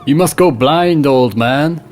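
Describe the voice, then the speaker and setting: A stern Norse crew leader, voiced by a male storyteller. He speaks higher and louder than the narration, firm and emphatic. Every order comes out blunt. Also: slow pace, faint music behind. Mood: forceful. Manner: commanding, emphatic.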